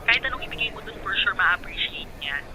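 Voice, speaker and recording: Mapanuksong kaibigan, pabago-bago ang tono. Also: female voice, medium pitch, animated, fairly quick, pushed and bright, a little hiss or hum, poor audio. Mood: teasing, supportive. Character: teasing, supportive close female friend. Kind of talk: Filipino radio drama acting.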